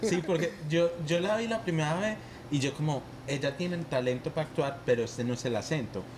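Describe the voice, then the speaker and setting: A man's voice: on the high side, a little fast, noisy background. Young man, casual podcast host. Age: young adult. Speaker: film director leading cast discussion. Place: shop with shelves of goods, indoors. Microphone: podcast mic on boom arm.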